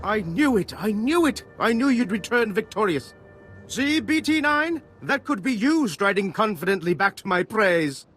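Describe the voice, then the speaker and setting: Pompous, high-pitched scientist, music behind. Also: male voice, very animated, normal speed, a bit bright. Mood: elated, exasperated. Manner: theatrical, pompous. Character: pompous, excitable male research scientist scolding his droid assistant.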